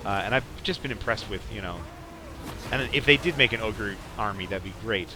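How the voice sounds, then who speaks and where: Young man, low pitch, rambling casual gameplay commentary, clear game noise in the background. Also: fairly quick. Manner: rambling, peppered with "like". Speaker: podcast host playing a strategy game.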